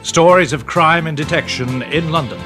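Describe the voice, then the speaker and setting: A man's voice: fairly high pitch, normal speed, music in the background. A man announcing a drama programme in a scripted introduction.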